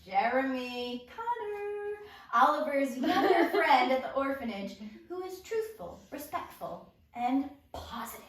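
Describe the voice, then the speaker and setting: A woman narrating at a table read, announcing characters and reading stage directions with deliberate emphasis. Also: high-pitched, expressive. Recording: very echoey room, distant mic.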